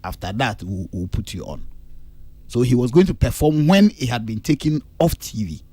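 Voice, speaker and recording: A man's voice: medium pitch, expressive, moderate pace, mellow, slightly rough, no echo. A man with a Ghanaian accent arguing emphatically on a radio entertainment panel, mixing languages. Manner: emphatic, argumentative, switches languages. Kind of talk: animated radio panel discussion. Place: radio studio.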